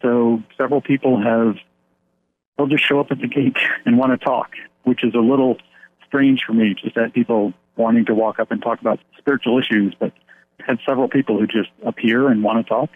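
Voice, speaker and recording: Man, pastor from the United States, earnest and rambling, phone interview, slightly husky, thin phone-line sound. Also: mid-range voice, fairly even intonation, a little fast. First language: English. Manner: earnest, rambling with fillers and restarts. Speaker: pastor of an English-speaking church abroad.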